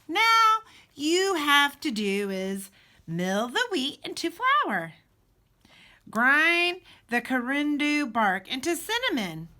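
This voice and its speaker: A woman reading a children's storybook aloud expressively, with deliberate, dramatic emphasis and pauses. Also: high voice, bright, forceful tone. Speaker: teacher reading a picture book aloud.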